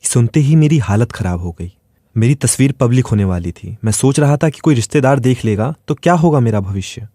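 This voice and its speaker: A man's voice: mid-range voice, expressive, a little fast, dark tone, no room sound, studio quality. A man reading a scripted first-person dramatic story as its narrator.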